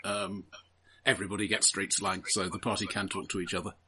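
A man running a tabletop role-playing game as game master, calmly reading out table results. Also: low-pitched, a little fast, breathy edge, rough recording. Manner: calm, reads out table results.

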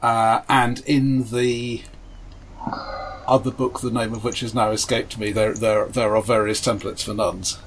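A man's voice: lowish voice, level tone, unhurried, slight background noise, low-quality recording. A man chatting casually as a player, easygoing and wry. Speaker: podcast co-host and player. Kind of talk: casual chat.

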